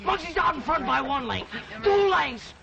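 An actor playing a cocky, wisecracking New York street kid with slangy, teasing barker's patter in an old film. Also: male voice, very high-pitched, very animated, normal speed, vocal fry, lo-fi sound, a crowd in the background, exaggerated voice. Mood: excited showman. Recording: noisy old film soundtrack.

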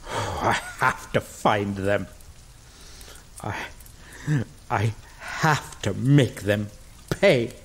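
Woman acting a slow-spoken, possessive vampire lover. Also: very deep voice, husky, exaggerated voice. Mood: vengeful, hunting. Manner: halting, slow, emotional delivery with drawn-out pauses. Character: grieving, possessive female vampire lover, vengeful and pleading. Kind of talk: dramatic ASMR roleplay acting.

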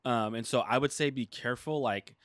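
A man's voice: mid-range voice, a little fast, no room sound. A man in his thirties co-hosting a gaming podcast, talking casually and rambling with lots of filler words, on a desk mic in a small studio room. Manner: rambling, many "like" fillers, animated retelling. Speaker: podcast co-host. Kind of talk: casual chat. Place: small studio room with dark curtain backdrop. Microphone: desk mic on boom arm.

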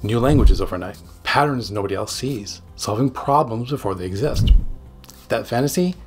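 A man in his forties, a psychiatrist hosting a scripted explainer to camera with emphatic, dramatic phrasing and a slightly husky voice. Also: mid-range voice, animated, normal speed. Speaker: psychiatrist and video host. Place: small home studio room. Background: background music.

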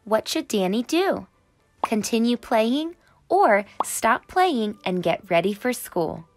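A woman storyteller doing an expressive, dramatic read-aloud for children in a teacherly way, with music under her voice. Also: a little high, slow, dry recording. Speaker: storyteller reading a children's book.

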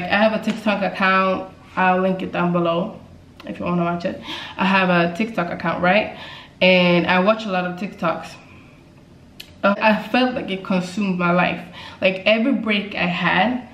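A woman's voice: medium pitch, gravelly. A young woman, talking casually to camera in a vlog from her apartment, chatty and repeating phrases for emphasis. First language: Swedish. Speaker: YouTuber. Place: indoors, bright apartment living room. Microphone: camera mic at desk distance. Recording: echoey room.

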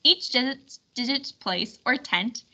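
Bright, high-voiced woman reading aloud. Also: measured pace. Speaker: storybook reader. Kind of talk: expressive children's read-aloud narration.

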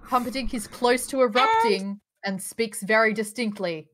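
A woman's voice: a little high, normal speed, a bit bright. A woman narrating the stage directions in a live table read of a film script, recorded over a video call. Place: indoors at home, on a group video call.

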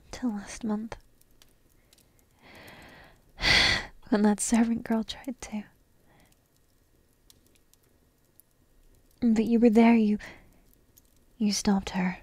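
A woman's voice: mid-range voice, dark tone, no echo. A woman playing a petite, entitled but devoted dragon princess in a whispered, coy and intimate fantasy ASMR roleplay. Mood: vulnerable, tender. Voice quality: breathy, whispery. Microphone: close microphone, dry.

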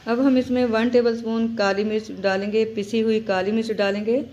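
A woman hosting a home cooking channel, calmly narrating each recipe step and repeating key points. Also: a little high, normal speed, muffled recording. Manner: steady, instructive, repeats steps.

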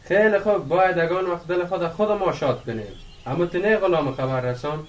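A man's voice: on the high side, slight background noise, lightly acted. An actor playing an impatient, bantering village man, blunt and prodding, in a radio drama. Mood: teasing, impatient.

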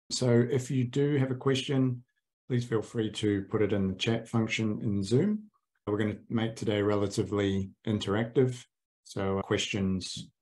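A man hosting a webinar, giving a brisk, friendly introduction and housekeeping notes over a video call. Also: medium pitch, darkish tone. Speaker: webinar host. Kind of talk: event introduction. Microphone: computer mic on video call.

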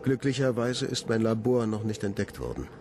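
Radio play: grave, secretive scientist, expressive delivery, fairly low. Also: male voice, normal speed. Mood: grave, determined. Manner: grave, formal monologue. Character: secretive, resolute male scientist. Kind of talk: German radio play acting. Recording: noticeable noise behind the voice.

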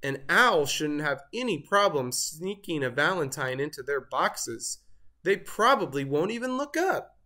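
A storyteller voicing an excitable, dramatic storybook turkey who gobbles, wails and exclaims. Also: mid-range voice, unhurried. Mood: eager. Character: excitable turkey, dramatically dejected when found out.

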